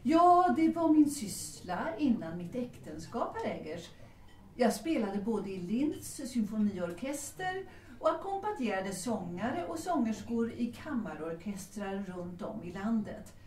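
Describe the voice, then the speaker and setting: An actress playing a proud, unsentimental middle-aged widow of good family in a period audio drama, speaking formally. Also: female voice, medium pitch, big pitch swings, darkish tone, a little hiss or hum, natural voice. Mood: proud, reminiscing. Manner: formal, dignified, matter-of-fact. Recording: roomy, distant microphone.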